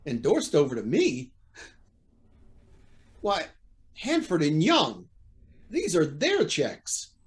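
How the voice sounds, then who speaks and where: A man's voice: fairly high pitch, huge pitch swings, slow, long pauses, exaggerated voice. Man acting a stern, blustering judge, swooping delivery. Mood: flustered, disbelieving. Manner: blustering, indignant, formal. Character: stern, indignant male judge, proud and cornered. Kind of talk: old-time radio drama acting.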